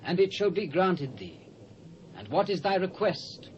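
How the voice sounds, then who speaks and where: An imperious, generous British-accented king in a scripture audio drama. The voice is high and swoops regally across its range, on a dull old cassette. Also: sing-song, unhurried. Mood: magnanimous. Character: grand Persian king, imperious and lavishly generous.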